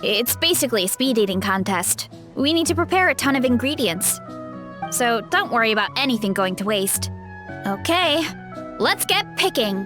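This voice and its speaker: A woman's voice: a little high, sing-song, moderate pace, music in the background. A woman voicing a cheerful, chatty young fruit grower with a big appetite in a video game. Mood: cheerful, friendly. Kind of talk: video game character voice acting.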